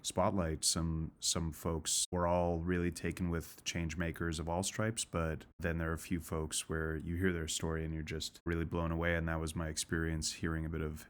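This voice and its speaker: A man's voice: very deep voice, monotone, moderate pace, hardly any pauses. A man hosting a talk show, reading a scripted introduction and then chatting earnestly with a guest. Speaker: podcast host.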